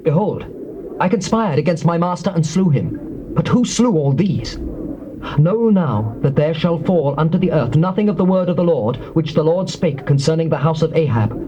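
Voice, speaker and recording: Give the voice of a ruthless, zealous warrior king played by a male actor in a scripture drama. He is British, pitched a little high, and declaims with solemn, imperious authority. The old tape is clearly noisy. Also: lively intonation, moderate pace, lo-fi sound, close to an everyday voice. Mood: declaiming. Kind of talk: dramatised scripture, classical stage acting.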